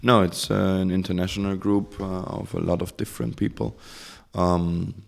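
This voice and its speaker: A man's voice: low-pitched, level tone, normal speed, vocal fry. A German man speaking English with a German accent, as a guest in a casual interview, rambling and searching for words. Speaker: guest, community founder.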